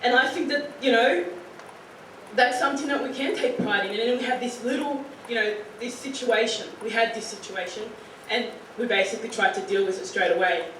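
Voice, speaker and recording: A woman's voice: on the high side, fairly quick, fairly bright tone. A young Australian woman in her late teens talks earnestly to a room of students, her speech loose and full of "you know" and "like." She is heard from a distance in a very echoey room. A faint hiss sits underneath. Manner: earnest, chatty, with many "you know" and "like" fillers. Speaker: guest speaker to high school students. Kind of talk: informal talk to a student audience.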